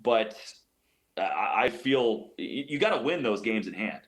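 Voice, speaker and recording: Low-pitched man giving sports analysis. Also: lively intonation, a little slow. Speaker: radio play-by-play announcer as guest. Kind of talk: sports analysis in a radio interview.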